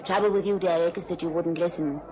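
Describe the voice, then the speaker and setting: A woman's voice: lowish voice, steady tone, fast, warm tone, slight background noise, lightly acted. An actress playing a poised, knowing female racehorse owner in a radio drama, arch and pointed. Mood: arch, cutting. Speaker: actor.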